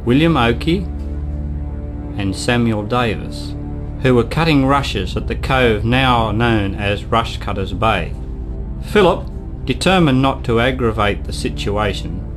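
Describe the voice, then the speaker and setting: A man's voice: mid-range voice, expressive, a little slow. A man reading scripted historical narration for a radio play. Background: guitar music.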